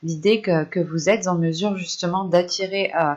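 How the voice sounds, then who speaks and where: Low-voiced woman reading tarot, muffled recording. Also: steady tone, normal speed, a little mellow. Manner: hesitant, searching for words, repeats phrases. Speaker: tarot reader. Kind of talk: improvised spoken tarot card reading.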